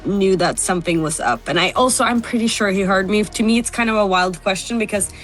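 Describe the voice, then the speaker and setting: A woman's voice: mid-range voice, fast talker, soft background music. A woman journalist, giving a candid interview over a video call and laughing between points. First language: Norwegian.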